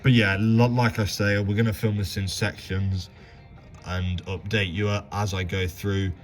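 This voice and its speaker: A man with a bit of a cold explains a car repair step by step, often stopping to correct himself. His voice sits low and he speaks slowly. Faint music plays underneath. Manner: halting, self-correcting, steady walkthrough. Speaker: home mechanic. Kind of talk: casual step-by-step repair commentary.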